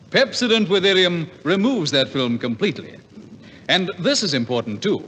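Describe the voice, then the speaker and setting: A man's voice: a little high, bright, forceful tone. A man announcing on 1950s radio with the emphatic, polished manner of a salesman. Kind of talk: 1950s radio sponsor pitch and contest announcing. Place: large hall before a live audience. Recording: 1950s radio, echoey hall, faint noise.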